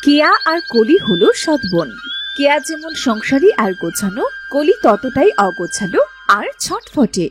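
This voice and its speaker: A woman's voice: high voice, big pitch swings, moderate pace, over music. A woman narrating a scripted cartoon fairy tale as a storyteller.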